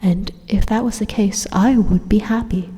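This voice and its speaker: Reverberant, breathy evil robot played by a woman, mellow, whispery, deliberate, coldly persuasive, condescending, ASMR roleplay. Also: medium pitch. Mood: calm persuasion. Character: calm, menacing supercomputer robot turned evil, coldly persuasive. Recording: long reverberant echo.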